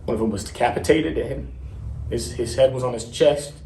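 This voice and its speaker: Man performing a young war veteran, haunted, halting, repeated words, dramatic stage monologue, echoey room, faint noise. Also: mid-range voice, dark tone, close to an everyday voice. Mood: horrified, haunted. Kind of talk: serious dramatic interpretation monologue. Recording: roomy, distant mic, faint noise.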